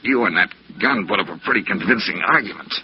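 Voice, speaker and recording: An actor playing a wry, unflappable American private investigator in 1950s radio noir style, with flippant, clipped hard-boiled talk. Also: male voice, lowish voice, expressive, fast talker, gravelly. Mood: flippant, defiant. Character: wry, unflappable male freelance investigator, wisecracking and world-weary. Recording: dull, noisy old radio recording.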